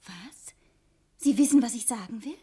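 A high-voiced, proud wife. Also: female voice, normal speed, slightly rough. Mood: surprised, guarded. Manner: sharp-tongued, formal, dramatic. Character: indignant, proud wife defending her fugitive husband. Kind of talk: 1960s German radio crime drama acting.

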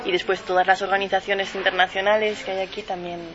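A woman's voice: medium pitch, dull audio, a crowd in the background. Chatty young woman hosting radio. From Spain. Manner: relaxed, chatty, with quick agreeing murmurs. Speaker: radio host. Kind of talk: casual radio interview.

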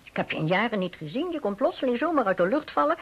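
A shrewd woman phonetics expert in a radio drama. Her voice is husky and moves freely up and down as she weighs things up with fond, teasing composure. The old recording is dull. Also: a little high, big pitch swings, fast, heavily acted. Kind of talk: old radio play dialogue.

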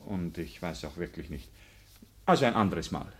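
An actor playing an evasive, flustered and stiffly proper village priest in a radio play. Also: male voice, deep voice, animated, moderate pace, a bit bright. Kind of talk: German radio drama acting.

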